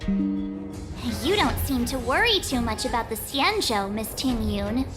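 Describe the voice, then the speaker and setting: A woman's voice: on the high side, sing-song, takes it slowly, over music. A woman voicing a friendly, spirited young female adventurer in a video game, talking in a direct, informal way. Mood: frank, eager.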